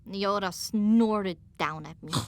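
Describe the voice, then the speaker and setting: A woman narrating a scripted first-person story as an audiobook, with an expressive, dramatic delivery. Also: mid-range voice, slow, clean tone.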